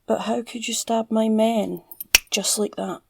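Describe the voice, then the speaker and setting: A woman with a Scottish accent playing an obsessive, flirtatious female villain in an ASMR roleplay, teasing and drawling in a breathy, gravelly voice. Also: a little high, level tone, unhurried, noisy background. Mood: intrigued, musing. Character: obsessive, flirtatious, psychotic female villain, captured crime boss. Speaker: audio roleplay voice actor.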